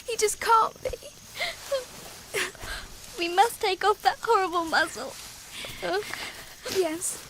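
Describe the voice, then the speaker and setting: A grief-stricken young girl in an audio drama. Her voice is extremely high and tearful, coming in very slow, broken pleas over faint noise. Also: fairly bright tone, full character voice. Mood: anguished grief. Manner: tearful, halting, pleading. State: crying.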